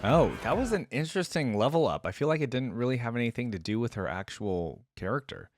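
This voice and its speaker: A man's voice: medium pitch, expressive, a little fast. A man hosting a reaction video, giving informal, chatty commentary and thinking aloud as he goes. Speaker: YouTube reaction host.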